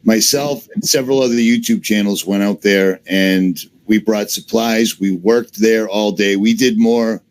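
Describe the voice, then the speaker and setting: A man's voice: fairly low pitch, steady tone, measured pace, few pauses, poor audio. A man with a Boston-area accent answering interview questions on a video call in a blunt, matter-of-fact way. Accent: Boston-area (Massachusetts). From Massachusetts, US. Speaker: YouTuber, interview guest.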